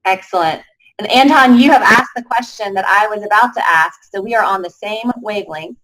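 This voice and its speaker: Woman moderator, brisk, inviting, calls on panelists. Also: mid-range voice, fairly bright tone, poor audio.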